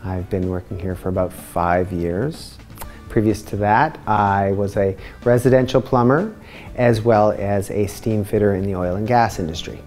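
A middle-aged man, a pipe trades instructor, speaking in a measured way with some hesitations in a studio interview. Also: lowish voice, darkish tone, faint music behind. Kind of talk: testimonial interview. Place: studio with dark backdrop. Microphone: lapel mic.

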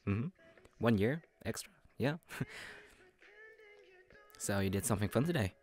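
A man acting a slow-talking, falsely sweet young yandere. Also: on the low side, lively intonation. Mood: friendly, teasing. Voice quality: soft, often breathy and whispering. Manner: slow, chuckling, teasing, coaxing. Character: obsessive psychotic young male yandere friend, falsely sweet. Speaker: audio roleplay voice actor. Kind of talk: intimate audio roleplay, second-person.

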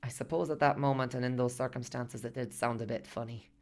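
A man's voice: medium pitch, fairly even intonation, fairly quick, darkish tone, close to an everyday voice. An actor in a radio play playing an earnest husband who tells his story in a conversational, rambling way. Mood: earnest, unsure. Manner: conversational, rambling, detailed asides. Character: earnest, chatty working-class husband recalling a hospital visit.

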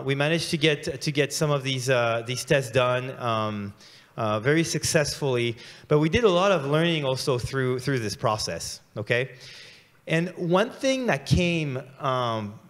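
A man in his forties giving a conference keynote in an explanatory, conversational way, often checking in with a tagged question. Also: medium pitch. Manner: explanatory, often tags sentences with "right?". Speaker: keynote speaker, engineering executive. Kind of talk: conference presentation, semi-conversational. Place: large indoor conference hall stage. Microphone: handheld microphone. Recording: reverberant conference hall sound.

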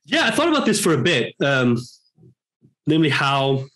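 A man, a guest talking reflectively on a video call from a home room, pausing and restarting often as he thinks aloud. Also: on the high side, wildly swooping pitch, sharp tone, rough recording. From Norway. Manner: hesitant, thoughtful, many pauses and restarts. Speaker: guest, professor. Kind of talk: reflective interview talk. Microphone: headphones on a video call.

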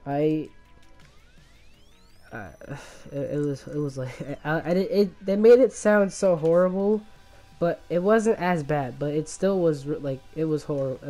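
A man with a mellow voice, a little worn from illness and a lingering cough, talks casually over his own gameplay. He stumbles and restarts his sentences often and chuckles at himself. Faint game music sits quietly behind him. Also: fairly high pitch. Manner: halting, many restarts and fillers, laughs at himself.

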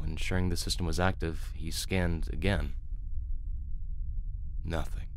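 A man reading a science fiction audiobook as narrator, with measured, deliberate, theatrical delivery. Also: low-pitched, slight background noise. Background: music.

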